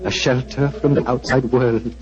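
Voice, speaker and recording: An actor playing a gentle, serene, fatherly old holy man in 1940s radio drama style, solemn and measured. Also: mid-range voice, steady tone, close to an everyday voice. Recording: muffled 1940s radio recording with music.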